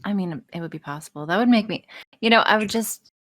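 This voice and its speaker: A woman's voice: medium pitch, fast, a bit bright. A woman chatting casually on a podcast, joking around and swearing freely. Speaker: podcast guest, audio performer.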